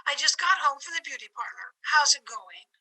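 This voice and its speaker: Woman acting a high-voiced, chirpy best friend. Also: sing-song, brisk, pushed and bright, full character voice, poor audio. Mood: curious. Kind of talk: old-time radio sitcom acting. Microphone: home microphone over video call.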